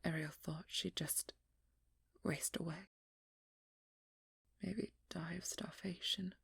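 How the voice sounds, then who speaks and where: A woman playing a haunted, determined vampire hunter in an ASMR audio role-play, confessing slowly and haltingly in a breathy, husky whisper, with pain in her delivery. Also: on the low side, fairly even intonation, fairly bright tone, no room sound, studio quality, full character voice. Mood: somber, aching. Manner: slow, halting, pained confession in short broken phrases.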